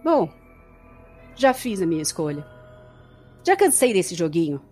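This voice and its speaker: Casting: actress as young female captive in horror audio drama; bitter, scornful, very animated swings in pitch, music underneath. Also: measured pace, slightly rough, exaggerated voice. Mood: scornful resolve. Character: bitter, defiant young woman held captive, feigning betrayal.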